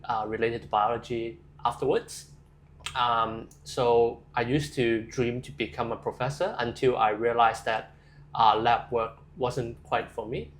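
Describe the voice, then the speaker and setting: A young man from Hong Kong, answering interview questions casually and thoughtfully, with frequent fillers and restarts. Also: medium pitch. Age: young adult. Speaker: interview guest.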